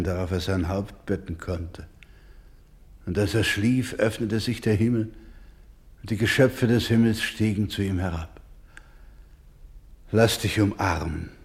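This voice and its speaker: Slow-speaking, anguished devout doctor. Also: male voice, low-pitched, a little mellow. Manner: grave, pleading, solemn. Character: anguished, devout male doctor caring for orphaned children. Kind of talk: German radio drama acting.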